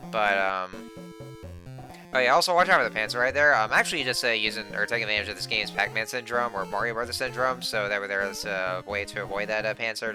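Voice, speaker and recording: Young male let's-player, hoarse and a bit low-voiced, rambling casually with lots of fillers; game music audible behind. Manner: rambling, many fillers and restarts. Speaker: gameplay commentator. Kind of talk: casual let's play commentary.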